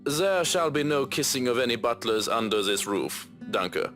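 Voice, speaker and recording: An actor playing a formal, dryly sardonic butler in a visual novel, with music behind him. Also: male voice, mid-range voice, moderate pace, natural voice. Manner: formal, dry, deadpan.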